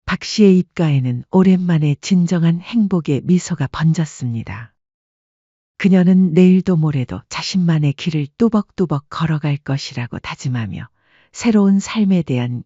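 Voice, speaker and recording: A woman narrating a scripted audiobook story in a calm, even manner. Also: low-pitched, normal speed, husky, no room sound, dull audio.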